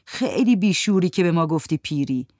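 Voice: mid-range voice, lively intonation, a little mellow, no room sound, studio quality, lightly acted. A narrator voicing an anxious young woman who keeps asking worried questions.

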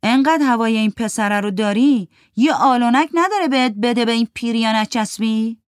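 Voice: medium pitch, animated, dry recording, studio quality, natural voice. A narrator voicing a tired, wry man who talks in a curt and mocking way.

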